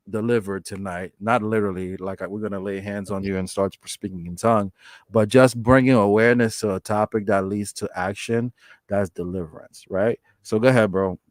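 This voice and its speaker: A man's voice: on the low side, no echo. A man hosting a live podcast, talking earnestly and with emphasis about trauma to his guest and his viewers. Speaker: podcast host.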